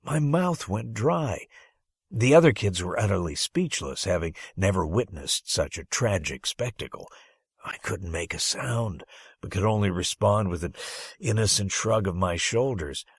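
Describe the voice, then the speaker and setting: A man's voice: lowish voice, animated, unhurried, slightly rough, no echo, studio quality. A man reading a first-person story as an audiobook narrator, with dramatic phrasing and strong emphasis.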